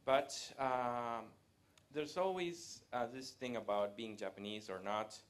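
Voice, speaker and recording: A man, giving a live presentation to an audience in a conversational way, pausing often to hesitate. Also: medium pitch. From Latin America. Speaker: guest speaker. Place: event room with a live audience.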